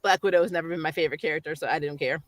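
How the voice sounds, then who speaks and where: A woman's voice: low voice, racing. A woman hosting a pop culture show, chatting casually about shows in an informal, opinionated, rambling way. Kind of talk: casual chat, reviewing shows.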